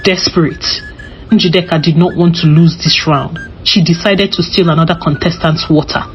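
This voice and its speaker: Lower-pitched woman telling a folktale. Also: fairly even intonation, moderate pace. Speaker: storyteller. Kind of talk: scripted folktale narration. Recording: slightly dull recording with music behind. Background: music.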